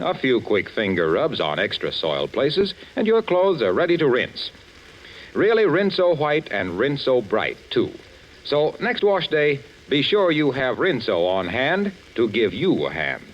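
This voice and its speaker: A man announcing and selling in the chatty style of a 1940s radio pitchman. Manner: chatty salesmanship. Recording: dull vintage radio recording.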